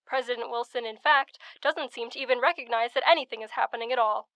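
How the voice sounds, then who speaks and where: A woman's voice: a little high, fast talker, sharp tone, dry recording. A woman playing a grave, dramatic old-time radio news correspondent, delivering scripted commentary in a solemn, oratorical way.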